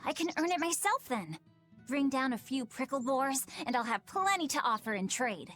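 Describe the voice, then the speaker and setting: A woman playing a brisk, caring, confident teenage big sister in an anime-style fantasy game. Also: sits high, lively intonation, fairly bright tone, faint music behind.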